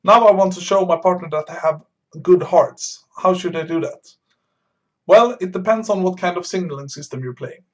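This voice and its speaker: A man's voice: high voice, moderate pace, dull audio. Hesitant man explaining his bridge play. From Sweden. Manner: thinking aloud, hesitant with frequent fillers. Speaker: bridge streamer teaching beginners. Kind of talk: live-stream commentary explaining card play.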